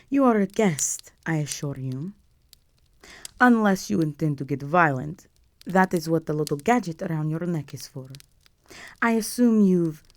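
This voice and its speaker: A woman voicing an imperious, seductive vampire countess in a scripted audio roleplay, poised, commanding and softly menacing. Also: fairly low pitch.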